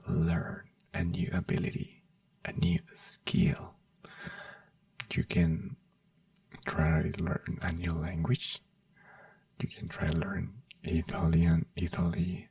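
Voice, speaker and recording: A man in an ASMR doctor roleplay, gently whispering health advice in halting, non-native English. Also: sits low, gravelly, recorded from a distance. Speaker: ASMR creator playing a doctor.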